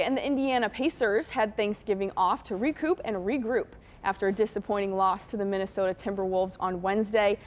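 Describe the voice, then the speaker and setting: A young woman anchoring a local TV sportscast, reading highlights with punchy, excited calls on big plays and wry asides. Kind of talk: scripted sportscast narration over highlights.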